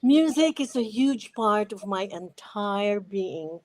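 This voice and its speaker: Slow-talking older Filipino woman. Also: medium pitch, expressive. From Philippines. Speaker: podcast guest, retired educator. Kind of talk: reflective interview talk.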